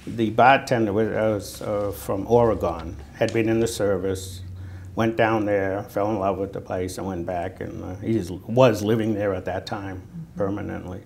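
A man in his sixties with a Boston accent, recalling his army service in a halting, conversational oral history interview. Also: fairly low pitch, fairly even intonation, vocal fry, a little mellow. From Natick, Massachusetts, United States. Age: 60s. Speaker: Vietnam War veteran being interviewed. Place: indoor room, seated on camera. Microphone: lapel. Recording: noticeable background noise.

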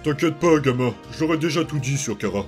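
A man's voice: mid-range voice, expressive, a little fast, soft background music, lightly acted. A man playing a casual, wary skeleton in a cartoon comic dub, speaking in an understated way. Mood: laid-back. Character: laid-back, wary skeleton, calling others kid.

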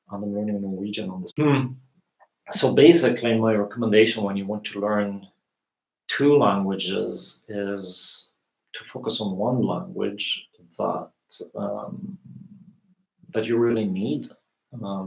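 A man in his thirties answering viewers' questions in a relaxed live lesson, pausing often with fillers, on a webcam in a small room. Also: fairly low pitch, gravelly. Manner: relaxed, thinking aloud with many fillers. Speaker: language teacher. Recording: echoey room.

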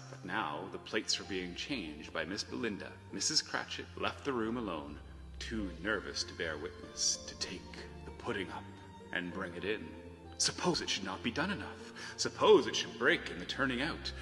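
A man narrating a storybook tale theatrically, with measured phrasing and emphatic swells. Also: on the low side, sharp tone. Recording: reverberant hall sound, music behind.

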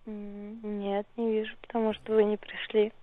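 A woman answering a club's phone with curt, deadpan replies. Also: mid-range voice, normal speed, no room sound. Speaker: club receptionist answering phone. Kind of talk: brief phone conversation. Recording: tinny, narrow phone line.

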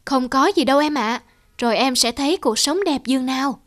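A woman voicing a gentle, protective grown blade of grass in a cartoon, soothing like a big sister. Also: high voice, brisk. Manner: soothing, reassuring. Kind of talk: animated film dubbing.

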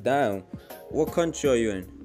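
A young man chatting loudly while gaming, laughing, repeating himself and swearing a lot. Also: medium pitch, expressive, normal speed, soft background music. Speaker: vlogger. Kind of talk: rowdy casual gaming chat.

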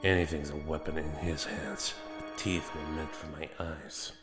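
A man's voice: rumbling low, level tone, normal speed. A man playing a grim, embattled vigilante superhero in a comic dub, with terse, brooding inner monologue. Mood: tense, focused. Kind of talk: comic book dub voice acting. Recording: echoey long reverb, music behind.

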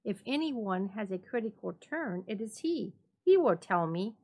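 A woman voicing a vengeful gentleman who feigns friendly concern, polite and coaxing, in a dramatic story reading. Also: medium pitch, expressive, moderate pace. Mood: feigned doubt.

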